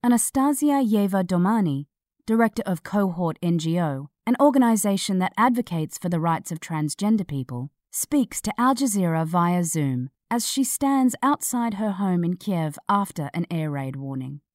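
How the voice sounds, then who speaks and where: A woman narrating a scripted news article in a measured, serious manner. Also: fairly low pitch, expressive, a little mellow, no echo, studio quality.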